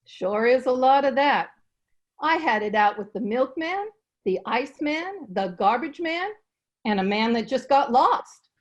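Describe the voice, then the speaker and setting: A folksy, outspoken housekeeper played by a woman in radio comedy. Her voice sits fairly high and bounces animatedly through colloquial, plain-spoken lines. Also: lively intonation, unhurried, dull audio. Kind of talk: old-time radio comedy acting.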